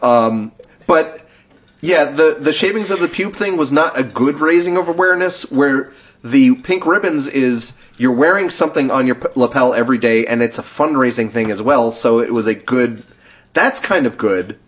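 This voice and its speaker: A man's voice: mid-range voice, normal speed. A man hosting a podcast in casual chat, explaining things in a talkative way with frequent chuckles. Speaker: podcast host. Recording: dull lo-fi podcast recording.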